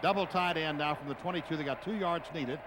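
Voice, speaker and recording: A man's voice: on the high side, quick pace. An American man calling live football play-by-play on the radio in short, clipped phrases. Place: outdoor football stadium broadcast. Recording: noisy stadium radio broadcast.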